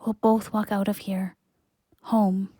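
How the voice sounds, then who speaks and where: A woman's voice: mid-range voice, little pitch movement, takes it slowly, warm tone, no room sound. A woman playing a resolute, grieving but hopeful young woman in an audio play, earnest and plain-spoken.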